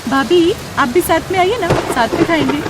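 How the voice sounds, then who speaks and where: Casting: a woman as an eager young sister-in-law, chirpy and high, coaxing, for an animated storybook. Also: normal speed, heavy background noise. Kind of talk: animated Hindi storybook dialogue.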